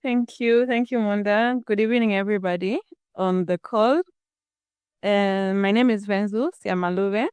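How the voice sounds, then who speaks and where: A young woman from Zambia, a guest scholar telling her story in a lively, conversational way. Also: mid-range voice, normal speed, clear, resonant tone, no room sound. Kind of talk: conversational storytelling on a video call.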